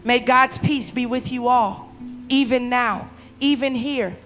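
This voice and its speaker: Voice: medium pitch, steady tone, slow pace, fairly bright tone, soft background music. A performer playing a solemn, earnest pastor who comforts a congregation in a scripted sermon for an apocalyptic audio drama. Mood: solemn, consoling.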